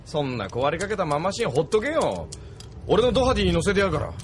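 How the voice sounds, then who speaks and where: A flippant young mech pilot talks in coarse, offhand slang. His fairly high male voice swings animatedly, acted in anime radio drama style over clearly audible music. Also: sing-song, fast talker. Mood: nonchalant. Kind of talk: anime audio drama acting.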